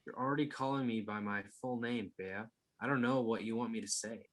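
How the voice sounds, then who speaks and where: A man's voice: medium pitch, a little fast, lightly acted. Guy playing a sly flirty husband who placates, wry, mid-range voice, table read. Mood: wary, placating.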